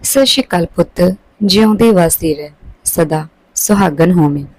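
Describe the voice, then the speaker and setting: A woman's voice: lowish voice, normal speed, darkish tone, no room sound, lightly acted, low-quality recording. A woman voicing an older mother-in-law in a story, affectionate in manner but subtly needling. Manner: sweetly taunting, blessing.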